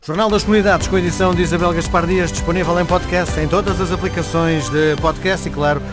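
Brief: male station announcer, European Portuguese accent, upbeat promo read, raised pitch, music bed clearly behind. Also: steady tone, fast talker. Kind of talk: radio continuity announcement.

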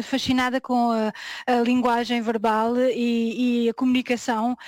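A woman's voice: a little high, no room sound. A woman with a European Portuguese accent, answering as an interview guest in an earnest way and correcting herself mid-word. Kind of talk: conversational interview.

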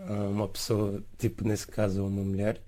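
A young man with a São Tomé Portuguese accent answers interview questions in a halting, easygoing way, letting his phrases fade out softly. His voice sits low and mellow, and it stays fairly flat in pitch. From São Tomé and Príncipe. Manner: halting, laid-back, trails off softly at phrase ends.

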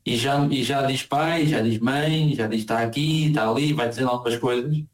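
A man's voice: medium pitch, moderate pace. A man from Portugal with a European Portuguese accent, talking in an interview about his life abroad, often repeating words as he searches for them. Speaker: interviewee, emigrant living in Argentina. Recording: echoey room.

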